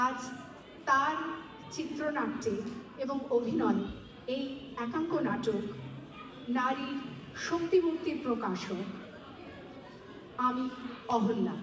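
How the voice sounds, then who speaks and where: Woman, event announcer, deliberate slow delivery, formal introduction, reverberant hall, distant mic. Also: high-pitched. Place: hall with stage at a community event. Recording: echoey hall, distant mic, loud background noise.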